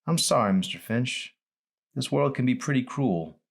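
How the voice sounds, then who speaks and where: A man reading as a teenage boy in an audiobook, sullen but polite. He talks plainly and guardedly, a little above the reader's usual low voice. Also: lively intonation, moderate pace, gravelly. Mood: sympathetic.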